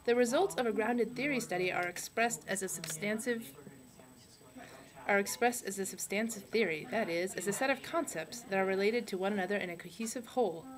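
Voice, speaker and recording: A deadpan puppet scholar, voiced by a woman in a medium-pitched voice. The delivery is a casual, read-out comic lecture, with other people audible in the background. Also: a little fast, crowd noise, natural voice. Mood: lecturing. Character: dead male sociologist puppet lecturing from heaven, deadpan.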